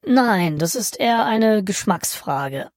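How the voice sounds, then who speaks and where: A woman's voice: medium pitch, expressive, takes it slowly, a little mellow, dry recording, studio quality. Wry Martian alien played by a woman, closely recorded. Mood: wry, proud. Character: genial, wry, bored Martian alien, prodigal son from Utopia. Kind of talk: German satirical radio play acting.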